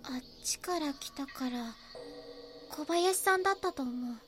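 A woman's voice: sits high, normal speed, music in the background, close to an everyday voice. A woman playing a polite, hesitant young girl who is an eyewitness in an audio drama. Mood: hushed, confiding.

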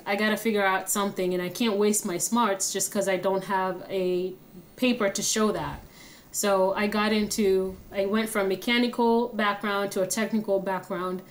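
A young woman from Kenya, a guest telling her own story in a friendly, steady way. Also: mid-range voice. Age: young adult. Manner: friendly, steady, laughs easily. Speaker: guest, app founder.

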